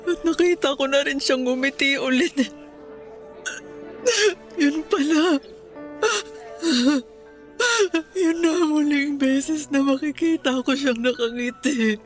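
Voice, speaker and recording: Grief-stricken Filipino mother, high-pitched. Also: a little slow, faint music behind. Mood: weeping grief. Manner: tearful, emotionally raw delivery. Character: grief-stricken, bitter mother mourning her young son. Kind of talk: Filipino radio drama acting.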